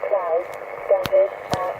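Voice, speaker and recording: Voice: mid-range voice, flat delivery, slow. An announcer reading an aviation weather forecast in a clipped, formal way over shortwave radio. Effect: shortwave single-sideband radio. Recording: hissy, noisy narrowband shortwave radio reception.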